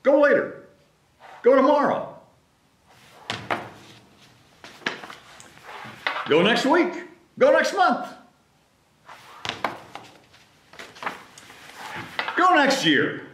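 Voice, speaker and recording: Dramatic, clingy storybook elephant played by a man, pleading repetitively, high and wildly swooping. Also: very animated, measured pace, fairly bright tone, exaggerated voice. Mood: desperate pleading. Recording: roomy sound with background noise.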